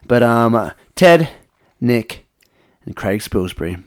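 A man's voice: mid-range voice, big pitch swings, slow. A man hosting a podcast, talking in a casual, conversational way as he introduces it. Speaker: podcast host.

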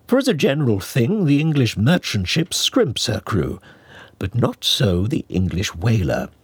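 A man reading a classic novel as an audiobook narrator, in a measured, expressive, mock-scholarly manner. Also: medium pitch, breathy edge, dry recording. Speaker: audiobook reader.

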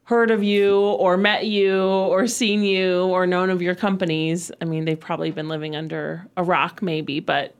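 A woman's voice: fairly low pitch, little pitch movement, moderate pace, few pauses, clean tone. A woman in her forties hosts a local business show. She chats loosely with her guest, with fillers and easy laughs. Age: 40s. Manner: chatty, laughs often, fillers. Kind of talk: casual interview chat.

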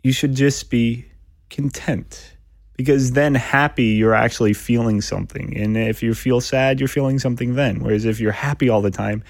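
A man speaking as a podcast guest in a relaxed, thoughtful interview conversation. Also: mid-range voice, dry recording. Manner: thoughtful, measured, occasional laughs.

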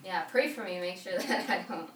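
A woman's voice: fairly low pitch, moderate pace, crowd noise. A woman whose first language is Spanish, chatting casually as a singer being interviewed. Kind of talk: casual conversational chat. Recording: echoey, noisy room, distant mic.

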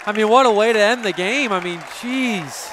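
An American man with a fairly high voice does casual sports commentary as a co-host. Crowd and venue noise sit faintly behind him. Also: moderate pace. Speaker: sports co-commentator reading game stats. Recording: noisy gym with crowd behind.

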